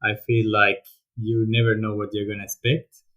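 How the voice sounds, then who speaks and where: A man from Spain with a Spanish accent, a podcast guest talking through his career in a thoughtful, casual way with frequent pauses and restarts. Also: on the low side, flat delivery, phone-quality sound. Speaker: podcast guest, volunteering program director. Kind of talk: casual interview talk.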